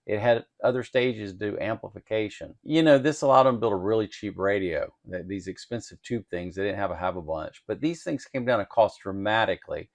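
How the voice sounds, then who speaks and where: Man, low pitch, creaky fry, plainspoken, folksy, informal hands-on technical tutorial. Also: brisk, few pauses. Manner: plainspoken, folksy, explains step by step. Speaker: host of an electronics kit-building channel. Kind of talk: informal technical explanation and workbench narration.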